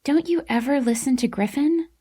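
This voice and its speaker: A calm young woman acted by a female narrator steps in as the frank friend in an audiobook story. She sounds cool, matter-of-fact and insistent, speaking without hurry. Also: mid-range voice, measured pace.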